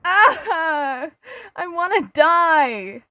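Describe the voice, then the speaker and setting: A woman's voice: very high-pitched, very animated, drawn-out words, sharp tone, slight background noise, heavily acted. A woman playing a gloomy, self-pitying teenage outcast in an anime fan dub, with a brooding, introspective delivery. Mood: despairing.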